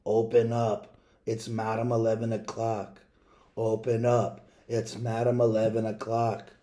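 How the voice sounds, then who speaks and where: A man's voice: lowish voice, completely flat delivery, a little slow, warm tone, natural voice. A man voicing a frightened woman in a storybook, nervous and pleading. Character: frightened, pleading woman, Madam Eleven O'Clock.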